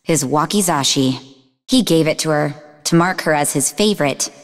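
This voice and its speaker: An actress playing a sarcastic, sharp-witted young woman psychic who is easily exasperated, in a video game. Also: deep voice, normal speed, big-room sound, close to an everyday voice. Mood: matter-of-fact. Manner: sarcastic, teasing.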